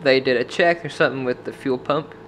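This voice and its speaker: A young man from Washington state tells a travel vlog story to a camera held at arm's length in a motel room. He talks in a chatty, rambling way with plenty of "like" fillers. A faint hum sits behind his voice, and his pitch stays in an ordinary middle range. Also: brisk, a bit bright. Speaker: vlogger. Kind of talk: casual travel vlog with voice-over. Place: dim motel room, indoors.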